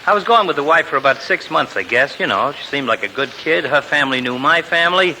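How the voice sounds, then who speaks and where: A man's voice: on the high side, expressive, fast. A man acting a cynical, grumbling married wisecracker in a 1940s radio comedy, talking in folksy slang. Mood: wry complaining. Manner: wisecracking, colloquial. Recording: old radio recording with faint noise.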